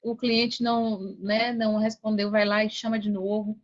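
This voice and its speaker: A Brazilian woman talking casually as a podcast guest, telling her experiences in an animated way and often seeking agreement. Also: mid-range voice, normal speed. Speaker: podcast guest, affiliate seller. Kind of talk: casual conversational storytelling. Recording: dull, band-limited sound.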